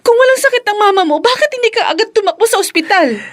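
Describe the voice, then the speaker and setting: A woman's voice: very high-pitched, expressive, fast. An actress with a Filipino accent playing a devoted, anxious young mother and hurt wife in a radio drama. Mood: hurt, accusing.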